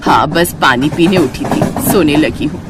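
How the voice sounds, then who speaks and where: A woman's voice: low voice, a little slow, heavy background noise, voice pushed down. A woman voicing an older, grandmotherly con woman in a story, chatty, coaxing and full of sly concern. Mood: feigned concern.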